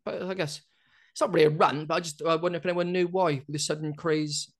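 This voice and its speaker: A man's voice: on the high side, steady tone, fast talker. A middle-aged man co-hosting a podcast, giving his opinions casually and often repeating his words. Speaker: podcast co-host. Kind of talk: casual chat.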